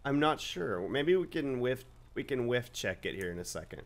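A young man with a slightly raspy voice giving casual, swearing, excited commentary over a video game he plays at home. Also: mid-range voice. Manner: swears freely, excited outbursts and quick reactions. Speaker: gaming YouTuber. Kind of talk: live gameplay commentary. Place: home gaming setup, indoors.

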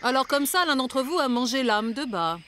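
An actress playing a solemn woman judge of a forest tribe in a cartoon, telling tales in a deadpan manner. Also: high-pitched, normal speed, a little hiss or hum. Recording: music behind the voice.

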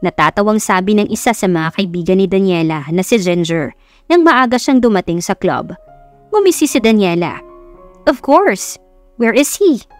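A woman's voice: medium pitch, expressive, normal speed, faint music behind. A woman with a Filipino accent reading a scripted romance story as an audiobook narrator.

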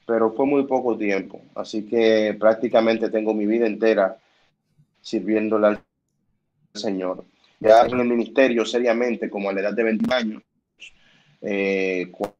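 A man from the Dominican Republic speaking calmly and measuredly on a video call, with pauses and hesitations. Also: lowish voice, muffled recording. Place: home room, indoors. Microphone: laptop webcam on video call.

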